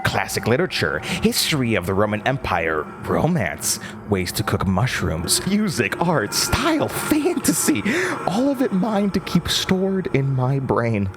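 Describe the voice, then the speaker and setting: A man's voice: mid-range voice, wildly swooping pitch, a little slow, music in the background. A man playing a gleeful, unsettling word-devouring entity in a horror audio drama, theatrical and savoring each word. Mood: wistful, eager. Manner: theatrical, giggling, savoring words.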